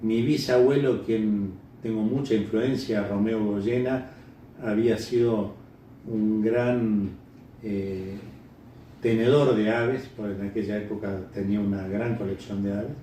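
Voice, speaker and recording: Echoey, slow-talking Uruguayan man. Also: on the low side, steady tone, dark tone. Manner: halting, repeats words while thinking. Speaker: interviewee, bird breeder. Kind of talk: unscripted interview answers, reminiscing. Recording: echoey room, distant mic, faint noise.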